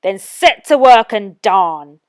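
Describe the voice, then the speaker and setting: A woman voicing a wicked male wizard in a children's storybook, with a booming, taunting, gloating delivery. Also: lively intonation, takes it slowly, no echo.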